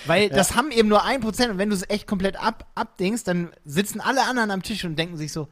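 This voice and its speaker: Animated young man telling stories. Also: sits high, fast talker, a little hiss or hum. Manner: animated, acts out quotes with funny voices. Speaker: podcast co-host. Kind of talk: casual chat.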